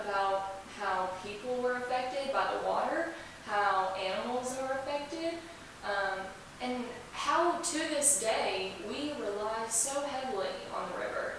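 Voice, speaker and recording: A woman with a higher voice talks casually as an interview guest, stressing points with enthusiasm. The room is strongly reverberant and she is picked up from a distance, with faint hiss. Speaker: interview guest, museum representative. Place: indoors in an old museum building.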